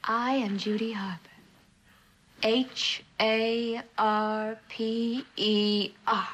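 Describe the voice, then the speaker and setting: A woman's voice: on the high side, little pitch movement, very slow, a bit bright, heavily acted, poor audio. A woman playing a defiant, streetwise young drifter in a mid-century TV drama, with blunt, slangy, offhand delivery.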